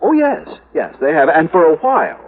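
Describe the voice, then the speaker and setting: An actor playing a fussy, anxious insurance executive in a 1950s radio drama, pedantic and easily flustered. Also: male voice, a little high, wildly swooping pitch, moderate pace, husky, exaggerated voice. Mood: worried. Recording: muffled vintage 1950s radio recording.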